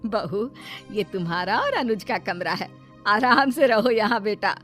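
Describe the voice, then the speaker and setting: A woman voicing a gentle, long-suffering middle-aged mother-in-law who coaxes and pleads in a cartoon storybook. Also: medium pitch, sing-song, measured pace, faint music behind. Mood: welcoming. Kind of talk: Hindi cartoon storybook acting.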